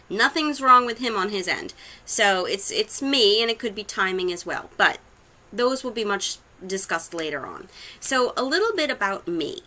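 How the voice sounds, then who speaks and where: Chatty Boston-accented woman with a bright voice. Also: lowish voice. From Massachusetts, USA. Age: 30s. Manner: chatty, rambling with many ums. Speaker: vlogger introducing her channel. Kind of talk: casual talk to camera.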